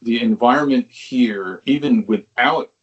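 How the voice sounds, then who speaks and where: A man's voice: medium pitch, unhurried, muffled recording. An older man from Long Island, a musician telling stories from his youth in a rambling, casual way. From Long Island, New York. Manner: rambling, frequent restarts, swears casually, chuckles. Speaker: musician guest. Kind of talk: conversational interview storytelling.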